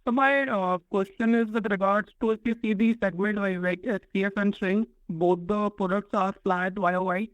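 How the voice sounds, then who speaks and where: A man's voice: sits high, steady tone, normal speed, few pauses, fairly bright tone, no room sound. A man, an analyst asking polite, deferential questions on a muffled lo-fi call line.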